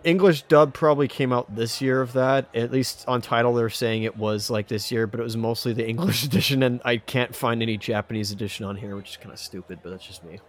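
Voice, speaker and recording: Halting man in casual podcast chat. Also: medium pitch, fairly even intonation. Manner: halting, rambling phrasing. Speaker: podcast co-host. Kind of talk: casual chat, asking questions.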